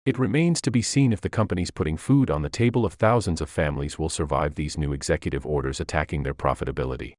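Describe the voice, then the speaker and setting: Deep, formal male news announcer, acted out. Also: quick pace, hardly any pauses, darkish tone, dry recording, clean studio sound. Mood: grave, slanted. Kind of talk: dramatized news broadcast.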